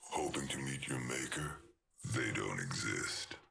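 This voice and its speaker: A sinister machine assassin from a video game, with an extremely low, gravel-filled voice. He savors every threat slowly and cruelly, sliding between a sharp-edged growl and a breathy whisper. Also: a little hiss or hum, heavily acted. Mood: cold menace. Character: menacing sadistic robotic killer, male, taunting his prey. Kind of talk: video game character voice lines.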